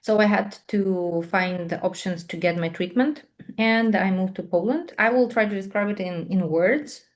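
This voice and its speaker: A woman in her thirties from Ukraine with a Ukrainian accent, answering interview questions on a video call in thoughtful, halting English with many pauses and fillers. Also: medium pitch. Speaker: guest, English teacher and patient advocate.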